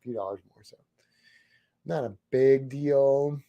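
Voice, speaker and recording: A man co-hosting a casual livestream, easygoing and chuckling as he reads viewer comments, his voice a little hoarse. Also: mid-range voice, slow, warm tone, dull audio. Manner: easygoing, chuckles often, hums to himself. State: slightly hoarse. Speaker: livestream co-host.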